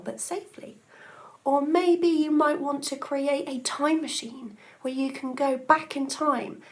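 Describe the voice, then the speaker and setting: A woman with a high voice speaks as a teacher and story reader. She addresses children directly, explaining and setting a task. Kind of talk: reading to children and setting tasks.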